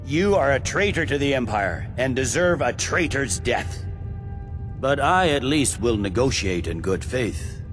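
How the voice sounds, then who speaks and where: An actor voicing a stern, impatient middle-aged general in a fantasy game, clipped and commanding. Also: male voice, medium pitch, animated, measured pace, music in the background, close to an everyday voice. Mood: stern, angry. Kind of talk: dramatic fantasy game dialogue.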